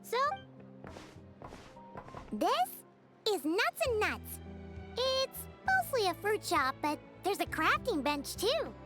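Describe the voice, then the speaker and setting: A woman voicing a tiny, chirpy fairy companion in a video game, childlike and squeaky, perky and chatty. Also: sing-song, slow, pauses often, music in the background, exaggerated voice. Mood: cheerful explaining. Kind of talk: video game character acting.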